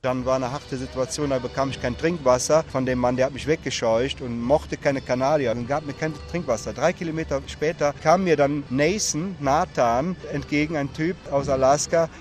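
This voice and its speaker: A man's voice: mid-range voice, normal speed, hardly any pauses. Male interviewee outdoors, chatty storyteller, fillers, faint music behind. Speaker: interviewee, long-distance walker. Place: outdoor summer festival.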